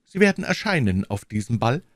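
A man's voice: medium pitch, big pitch swings, brisk, no echo, studio quality, pitched above the performer's own voice. A man voicing a cold, haughty king who speaks with stately command in an audiobook reading. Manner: stately, commanding, coldly taunting. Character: cold, cruel, haughty middle-aged king.

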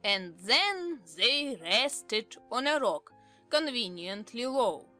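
A woman's voice: fairly high pitch, very animated, takes it slowly, sharp tone, soft background music, heavily acted. A woman playing a petulant storybook twin brother who recites verse theatrically. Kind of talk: dramatized audiobook acting.

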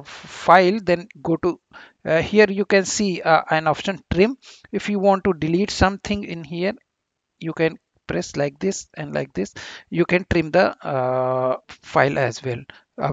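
Halting man narrating, higher voice, dull sound. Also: gravelly, no echo. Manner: halting, with very frequent hesitations and repeated words. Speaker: tutorial host. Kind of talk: informal screen-recorded software tutorial narration.

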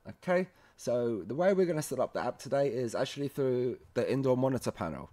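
A man's voice: mid-range voice, expressive, brisk, mellow. A man presenting a casual how-to demonstration, speaking informally and in a friendly way to viewers. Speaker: presenter of a product tutorial series.

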